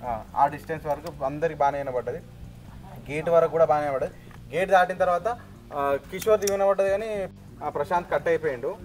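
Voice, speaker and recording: A man's voice: a little high, fast, rough recording. A man in casual chat, explaining himself to his friends.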